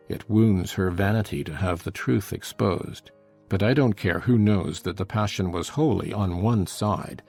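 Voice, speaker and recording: An actor playing a scornful, cruel, brooding husband in a dramatized gothic novel, speaking with deliberate, sardonic contempt. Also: male voice, lowish voice, creaky, a little mellow, slightly rough, heavily acted. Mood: cruel mockery. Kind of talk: dramatized audiobook acting.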